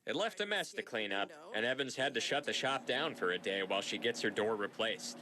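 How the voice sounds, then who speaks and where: A man's voice: mid-range voice, animated, a little fast, crowd noise. A man reading a scripted TV news feature with a playful, punning delivery. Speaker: news reporter.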